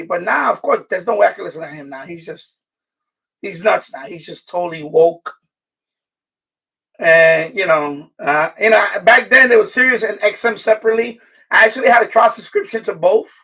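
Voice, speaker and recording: A middle-aged man chatting casually on a live stream, halting and rambling as he corrects himself. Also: high-pitched, gravelly, bright, forceful tone, breathy edge, far from the mic. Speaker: live streamer, radio hobbyist.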